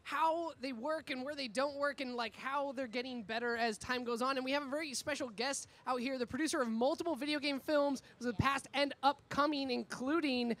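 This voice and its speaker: A woman's voice: high voice, brisk, hardly any pauses, fairly bright tone. A woman hosting a live panel in a casual, chatty, slangy way. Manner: chatty, informal, frequent slangy "like". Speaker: panel host. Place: live convention stage. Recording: noisy live event room.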